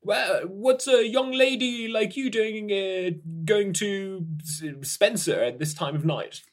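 A man doing a high gentle old English gentleman. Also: slow. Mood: kindly. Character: elderly kindly English gentleman on a train.

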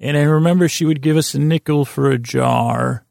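A man's voice: on the high side, normal speed, gravelly, a little mellow, no room sound, studio quality. A man voicing a nostalgic grandfatherly man who rambles, forgets and gets sidetracked on a leisurely drive, as part of a bedtime monologue. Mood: nostalgic, rambling. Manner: wandering, forgetful, easily sidetracked.

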